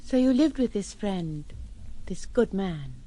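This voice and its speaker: A woman's voice: medium pitch, lively intonation, takes it slowly, dark tone. An actress in an audio drama playing an earnest, devoted and uneasy young woman who speaks plainly and searchingly. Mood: questioning.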